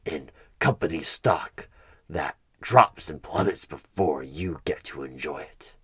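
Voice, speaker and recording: An extremely low, sinister male demon conducts a job interview as a horror roleplay. The voice is a slow, husky growl that slips into breathy whispers. The delivery is deliberate, menacing and manipulative, a tempter pressing a corrupt deal. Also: fairly bright tone, heavily acted. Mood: coldly dismissive. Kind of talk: one-sided horror ASMR audio roleplay.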